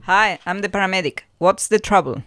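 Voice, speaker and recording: A woman's voice: medium pitch, brisk, heavy background noise, natural voice. A woman playing a blunt, questioning paramedic in a comedy radio play. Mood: brisk concern.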